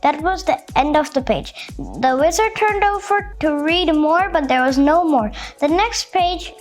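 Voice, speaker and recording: A woman reading a storybook aloud to children, with expressive, carefully phrased narration. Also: sits high, a little slow, faint music behind.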